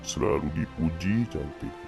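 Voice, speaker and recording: A male teacher voicing a sad, envious bamboo plant in a deep, slow voice while reading a children's fable. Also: music in the background, exaggerated voice. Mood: glum wondering. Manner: deep, gravelly, slow and glum.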